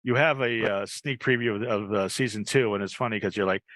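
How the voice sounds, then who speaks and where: A man hosts a casual interview show in a fairly low voice with a bright, forward edge. The sound is dry, with very little room to it. He talks conversationally, as podcast hosts do. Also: brisk, dry recording. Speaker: podcast host.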